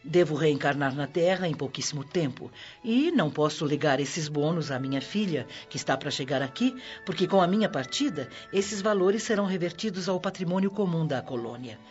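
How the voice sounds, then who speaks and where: A woman's voice: low voice, lively intonation, fairly quick, hardly any pauses, darkish tone. A wise, motherly spirit in a radio drama, serene and dignified. She has a Brazilian accent and explains patiently. The sound is dull, over a bed of music. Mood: serene explaining. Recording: dull lo-fi audio under music.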